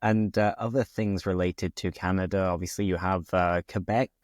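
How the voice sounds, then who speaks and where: Upbeat host, lowish dry voice. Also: male voice, steady tone, normal speed, no room sound. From Britain. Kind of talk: interviewer questions.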